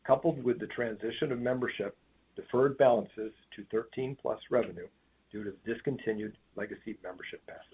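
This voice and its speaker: A man's voice: on the low side, a little mellow, slightly rough. A man presenting prepared financial remarks on an earnings call in a formal, read-out manner. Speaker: company finance executive. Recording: tinny phone line with background noise.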